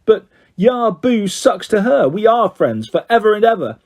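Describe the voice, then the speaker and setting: A man's voice: sits high, lively intonation, moderate pace, mellow, voice pushed up. A man voicing an emotional young schoolgirl, earnest and plaintive in a children's storybook reading. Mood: defiant, dramatic. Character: emotional, dramatic young schoolgirl telling her best friend she misses her.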